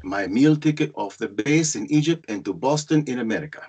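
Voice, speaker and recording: A man's voice: medium pitch, expressive, brisk, darkish tone, husky. A man playing an ambitious, wry young Egyptian immigrant freshman, narrating his own story in a screenplay table read over a video call. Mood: confident, musing. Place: indoors at home, group video call. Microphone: computer or headset on video call.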